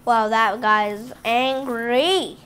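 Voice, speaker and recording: A woman's voice: a little high, fairly even intonation, very slow, fairly bright tone, clean tone. A female channel host reading a picture book aloud to children at home, stumbling and restarting on words and stopping for chatty asides to the kids. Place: indoors at home, book on a table.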